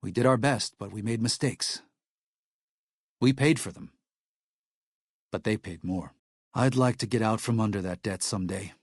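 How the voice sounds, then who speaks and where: A man's voice: lowish voice, moderate pace, long pauses, no echo, studio quality, natural voice. An actor playing a devout, weary missionary man in a video game, speaking with earnest gravity. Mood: weary, regretful. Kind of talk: video game character dialogue.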